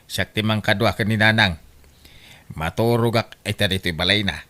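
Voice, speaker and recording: A man's voice: lowish voice, moderate pace, pushed and bright. A man playing a grumbling, competitive middle-aged youngest son in an Ilocano comedy radio drama. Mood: coaxing.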